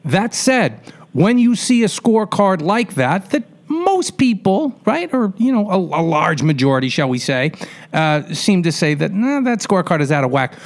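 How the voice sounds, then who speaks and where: A man's voice: sits high, very animated, moderate pace. A man hosting a conversational sports radio interview, wordy and colloquial as he builds up to his questions. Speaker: radio show host, interviewer.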